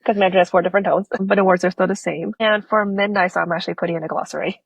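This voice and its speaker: A woman's voice: lowish voice, steady tone, very fast, creaky, breathy edge, no echo. A woman author who grew up in Singapore, with a faint accent, chatting animatedly as an interview guest and acting out her own reactions. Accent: Singaporean-tinged American. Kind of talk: conversational interview.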